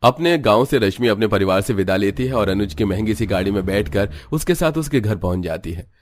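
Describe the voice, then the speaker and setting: A man's voice: lowish voice, expressive, a little fast, a little hiss or hum. A man narrating a scripted story. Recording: music bed under voice. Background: background music.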